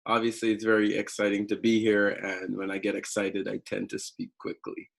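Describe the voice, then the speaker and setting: Flat, gravelly man from Guyana. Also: on the low side. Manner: deliberately slow, with fillers. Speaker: seminar speaker. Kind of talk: online seminar talk.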